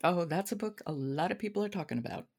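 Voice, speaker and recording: A woman's voice: on the low side, animated, quick pace, a little mellow. A woman hosting a book podcast in a casual, wry, chatty conversational way. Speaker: podcast host. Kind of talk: conversational book talk and interview.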